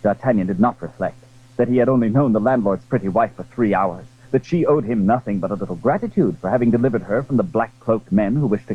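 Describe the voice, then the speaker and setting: Guy reading classic adventure story, dramatic n animated, husky voice, measured literary delivery. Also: fairly low pitch, narrow, tinny audio. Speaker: narrator. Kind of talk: dramatic scripted storybook narration.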